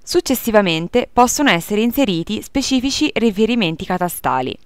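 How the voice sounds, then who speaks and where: A woman's voice: mid-range voice, expressive, fairly quick, clean tone, dry recording, clean studio sound. A woman reading a scripted instructional tutorial as narrator in a formal, procedural manner.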